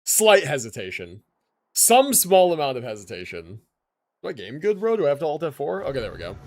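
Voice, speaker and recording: A man's voice: a little high, huge pitch swings, brisk. A man giving casual livestream commentary on a video game in a slangy, rambling, sweary way. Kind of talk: casual gaming stream commentary. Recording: game music behind the voice. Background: game music.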